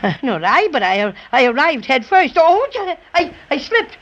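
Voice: high voice, sing-song, unhurried, a bit bright, exaggerated voice. A performer playing a small, spunky, wisecracking stuffed bear in a 1930s children's radio serial, plucky and given to dry complaints. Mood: wry. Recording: muffled, noisy 1930s radio recording.

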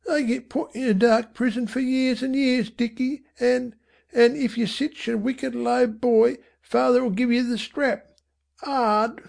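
A woman's voice: on the high side, steady tone, a little slow, vocal fry, a little mellow, voice pushed up. A woman voicing a doleful, listless slum mother with speech, faltering and feebly respectable, in an audiobook. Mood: faltering reproach. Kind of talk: audiobook character voice.

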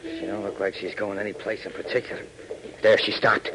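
An American actor playing an impatient, slow-witted sidekick in a 1940s radio serial, blunt and full of rough slang. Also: male voice, lowish voice, level tone, close to an everyday voice. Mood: puzzled. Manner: blunt, uneducated street slang. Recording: noisy old 1940s radio broadcast.

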